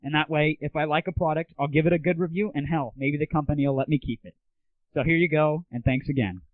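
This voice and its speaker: A man's voice: fairly high pitch, fast talker, no room sound. A man hosting a casual solo show, giving blunt, opinionated commentary peppered with swearing. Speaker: show host. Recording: narrow, phone-like lo-fi audio.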